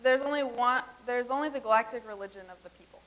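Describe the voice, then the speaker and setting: A woman playing a deadpan visitor from the future. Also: fairly high pitch, fast talker, natural voice. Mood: matter-of-fact. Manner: matter-of-fact, earnest explaining. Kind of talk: staged comedy reading.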